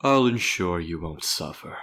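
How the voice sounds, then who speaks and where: A man's voice: low-pitched, animated, a little slow, a bit bright, slight background noise. A man playing an arrogant, suave and menacing vampire tyrant in an ASMR roleplay, talking in a theatrical, formal, mocking manner. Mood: mocking, condescending. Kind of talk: scripted ASMR villain roleplay. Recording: echoing voice over faint music.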